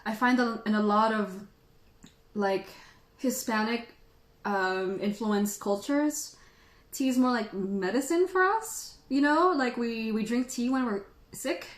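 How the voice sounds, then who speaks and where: A woman's voice: a little high, slow. Chatty, laughing woman tea host. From Philippines. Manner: chatty and playful, laughs often. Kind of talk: casual livestream chat answering viewers. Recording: echoey room.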